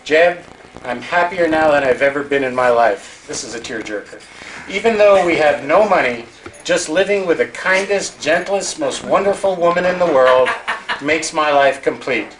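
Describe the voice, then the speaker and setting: Higher-voiced man over loud room noise. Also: lively intonation, moderate pace. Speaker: party guest reading out birthday messages. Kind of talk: comic reading aloud at a party. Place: home party. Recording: noisy amateur home video.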